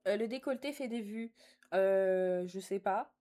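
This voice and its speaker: A young woman talks casually to her live stream audience at a middling pitch. She is sassy and teasing, uses plenty of slang, and moves between reading comments out and answering them directly. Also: measured pace, warm tone.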